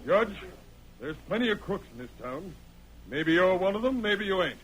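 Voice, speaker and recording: An actor playing an indignant, bold accused cowboy, speaking emphatically in a 1930s radio western. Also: male voice, high-pitched, sing-song, moderate pace, low-quality recording. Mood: defiant. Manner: emphatic, declamatory. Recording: hissy 1930s radio broadcast.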